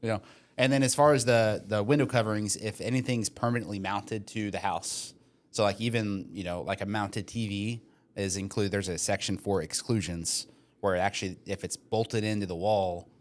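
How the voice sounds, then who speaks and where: Young guy from texas cohosting a podcast, lowish voice, jokey, lots of "like" and "uh". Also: fairly even intonation, moderate pace. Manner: joking asides, frequent hedges and fillers. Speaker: podcast co-host, mortgage lender. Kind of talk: casual chat, reading listener questions aloud.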